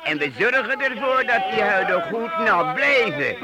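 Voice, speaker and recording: A man's voice: high-pitched, big pitch swings, measured pace. An actor in a Dutch radio play playing a thrifty, grumbling medieval master craftsman. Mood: practical, shrewd. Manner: penny-pinching, fussy. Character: thrifty, grumbling medieval master cooper leading townsmen in a siege. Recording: very noisy old radio recording.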